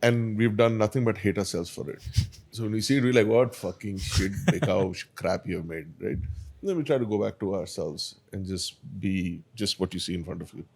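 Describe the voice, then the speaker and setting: A middle-aged man chatting as a podcast guest in a restaurant kitchen, animated and joking, swearing freely. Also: lowish voice, normal speed, slightly rough. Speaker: podcast guest, food show creator. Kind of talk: casual chat.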